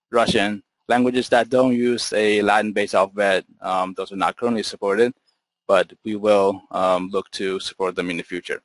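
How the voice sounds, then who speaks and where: A man giving an explanatory software webinar presentation over slides, in a measured, businesslike manner as the product presenter. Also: medium pitch, no room sound, rough recording.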